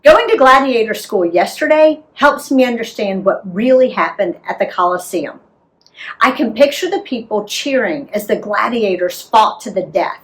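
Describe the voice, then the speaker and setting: An American woman narrating a travel memoir as an author reading aloud, in an expressive, deliberate storytelling manner. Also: mid-range voice, low-quality recording. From United States.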